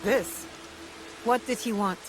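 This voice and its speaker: An actress playing a guarded but caring female fighter in a video game, frank and gently firm. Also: mid-range voice, takes it slowly, over music, close to an everyday voice. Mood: probing, wry.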